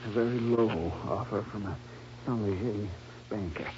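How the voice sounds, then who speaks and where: An actor playing a sick, weary farmer in an old-time radio drama, earnest and fearful, with weak, labored delivery. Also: male voice, fairly low pitch, moderate pace, lightly acted. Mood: earnest, uneasy. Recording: dull vintage radio recording, faint hum.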